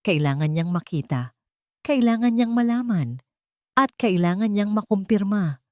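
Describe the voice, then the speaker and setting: A woman's voice: medium pitch, lively intonation, moderate pace, no echo, studio quality. A woman reading a scripted romantic drama as a storyteller, in a dramatic narrating style.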